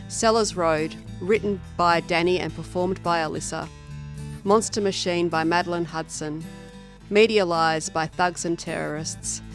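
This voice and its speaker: A woman with an Australian accent reading scripted credits as a podcast host. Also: fairly low pitch. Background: plucked guitar music.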